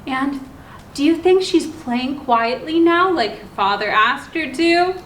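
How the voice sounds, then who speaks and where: A woman hosting a children's story time, reading aloud in simple, instructive language and pausing to put questions to her listeners. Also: high-pitched, animated, fairly bright tone. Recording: roomy echo, clear background noise.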